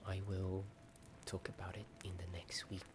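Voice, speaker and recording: Low whispering man, noisy ambience behind. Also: completely flat delivery, moderate pace. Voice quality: soft whispering, often unvoiced. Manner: hesitant, rambling, self-deprecating. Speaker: ASMR video host. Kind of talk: whispered ASMR chat. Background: added ambience sound.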